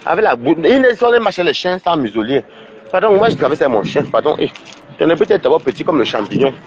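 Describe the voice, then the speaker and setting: A man's voice: fairly high pitch, big pitch swings, quick pace, highs cut off, a little hiss or hum. A man with a cold, rambling and scolding on a livestream, with many stumbling restarts. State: stuffy with a cold.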